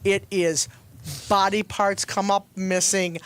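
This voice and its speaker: A woman with a medium-pitched voice chats as a guest on a talk show. She tells stories in a casual, jokey manner and likes to voice the people in them. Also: level tone, takes it slowly, fairly bright tone, smooth. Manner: chatty, jokey storytelling with acted-out quotes. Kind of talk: animated casual chat and storytelling.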